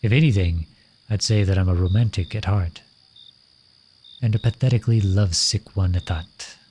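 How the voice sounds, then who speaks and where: A man's voice: sits low, normal speed, darkish tone, slight background noise, lightly acted. A man playing a lovesick, courtly royal in a romantic ASMR roleplay, speaking to the listener in formal, flowery phrases. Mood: composed, candid.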